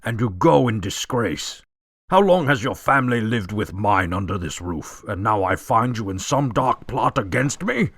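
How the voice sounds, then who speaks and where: A man playing a commanding, indignant lord of a manor in an audio drama, with a husky, authoritative, demanding delivery. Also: lowish voice, lively intonation, moderate pace, fairly bright tone, dry recording, clean studio sound. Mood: stern, indignant.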